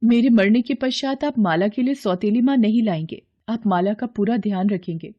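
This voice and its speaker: A woman's voice: mid-range voice, moderate pace, warm tone, dull audio, close to an everyday voice. A woman voicing a devoted, anxious queen mother in a cartoon fairy tale, earnest, tender and imploring. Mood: worried, imploring. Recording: clear background noise.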